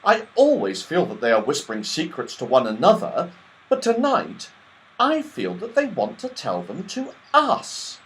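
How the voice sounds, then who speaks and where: A man's voice: sits high, wildly swooping pitch, measured pace, a bit bright, voice pushed up, full character voice, poor audio. A man voicing an earnest young girl in a children's storybook, with a concerned, wondering manner.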